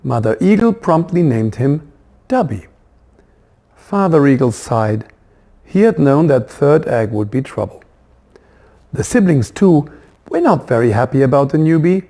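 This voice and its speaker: A man reading a children's book as its author, in expressive storybook narration. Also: mid-range voice, measured pace, long pauses.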